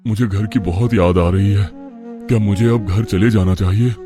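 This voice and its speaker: A man's voice: on the low side, fairly even intonation, normal speed, music in the background, lightly acted. A man playing an earnest, kind-hearted young village merchant in a cartoon moral story, speaking sincerely and respectfully. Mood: sincere, pensive. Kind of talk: Hindi animated moral story dialogue.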